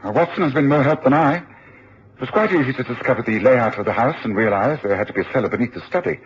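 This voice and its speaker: An actor in a radio drama playing a commanding, brisk Victorian gentleman detective, with a clipped, urgent delivery. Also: mid-range voice, animated, close to an everyday voice. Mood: composed. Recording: muffled, very noisy old radio recording.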